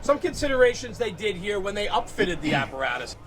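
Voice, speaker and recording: A man's voice: high-pitched, very animated, brisk, a bit bright. A man hosting an unscripted equipment walkaround, enthusiastic and emphatic, often checking in with "right?". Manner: emphatic, engaged, peppers talk with "right?". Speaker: host of a fire apparatus walkaround. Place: outdoors at a busy convention. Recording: outdoor crowd and vehicle noise.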